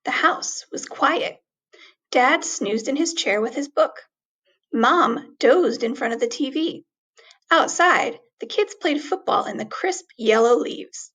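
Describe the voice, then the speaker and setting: High voiced lady reading a picture book to kids, really lively, dramatic emphasis. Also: takes it slowly, slightly rough. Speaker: teacher reading a storybook aloud. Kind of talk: expressive children's story reading.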